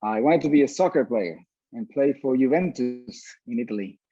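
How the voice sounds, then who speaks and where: A man from Venezuela talking casually as a musician being interviewed, pausing often mid-sentence. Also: animated, music in the background. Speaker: orchestra violinist, interview guest. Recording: dull, roomy low-fidelity sound.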